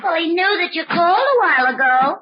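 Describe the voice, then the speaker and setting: An actress playing a nervous, evasive young wife who stammers and falters in an old-time radio drama. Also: female voice, very high voice, big pitch swings, fairly quick, a little hiss or hum. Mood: anxious. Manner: hesitant, flustered, stammering. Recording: dull, band-limited old radio recording.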